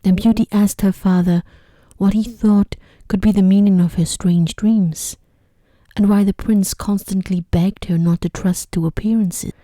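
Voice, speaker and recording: A woman narrating an ASMR sleep story in a hushed, gentle voice that drifts into whispers. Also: medium pitch, steady tone, normal speed, dry recording. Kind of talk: soft-spoken ASMR bedtime story reading.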